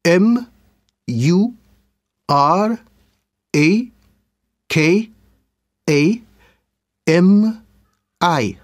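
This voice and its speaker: Very slow, halting man from Japan. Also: high-pitched, expressive, no echo, clean studio sound. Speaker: exam candidate. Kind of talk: scripted exam answers.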